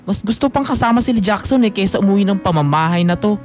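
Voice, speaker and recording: A man's voice: sits high, animated, fast. A sulky, rebellious Filipino teenage son in a radio drama. He has a youthful, petulant delivery typical of a defiant student, over a faint music bed. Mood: irritated, dismissive. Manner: snappy, petulant. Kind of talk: radio drama dialogue, Taglish.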